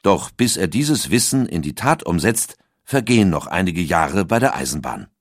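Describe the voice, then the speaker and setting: A man with a very low voice reads a documentary script, his pitch rising and falling in lively arcs. The sound is dry and close, with no room around it. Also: moderate pace, dry recording. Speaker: documentary narrator.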